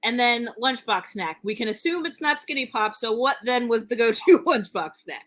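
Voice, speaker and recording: Upbeat woman host, bright higher voice, chatty and easy to laugh. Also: expressive, normal speed. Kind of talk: casual live-stream comedy chat.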